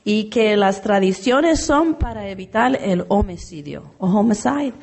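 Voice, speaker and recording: A woman's voice: mid-range voice, animated, measured pace, creaky, a little mellow, husky. Hesitant woman sharing at a meeting. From Puerto Rico. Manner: hesitant, with many fillers and restarts. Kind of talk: personal testimony at a recovery meeting.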